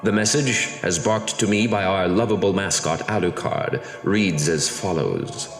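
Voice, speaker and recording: A man playing a sinister, grandiose horror show announcer in melodramatic mock-horror radio style. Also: low voice. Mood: menacing. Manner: melodramatic, drawn-out. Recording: echoing reverb, music underneath.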